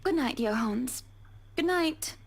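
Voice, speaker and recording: An actress playing a genteel, polite high-society wife in a visual novel game. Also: female voice, animated, slow pace, heavily acted. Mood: weary, polite. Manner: polite, refined.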